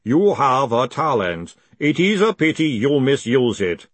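A man voicing a huge, impassive golem in an audiobook, with a slow, calm, rumbling and formal delivery. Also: slightly rough, no echo.

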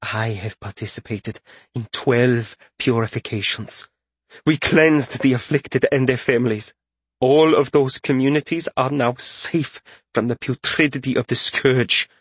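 A man's voice: mid-range voice, measured pace, breathy edge, dry recording. A man voicing a defiant, fanatical young purifier in an audiobook, with a righteous, declaiming manner. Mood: proud defiance.

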